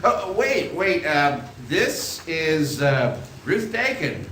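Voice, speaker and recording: A man's voice: on the high side, sing-song, slow pace, a bit bright, exaggerated voice. A man playing an eager, sheepish younger brother in a radio play, with hesitant delivery. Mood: excited. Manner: hesitant, stammering. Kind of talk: stage radio play acting. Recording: echoey theatre, faint noise.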